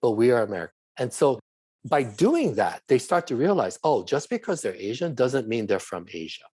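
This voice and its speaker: A man's voice: medium pitch, sing-song, a little fast, darkish tone, breathy edge, no room sound. A middle-aged man from Hawaii, a podcast guest, explaining his views at length in an earnest, conversational way. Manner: earnest, explanatory, speaks in long flowing runs. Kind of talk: conversational interview.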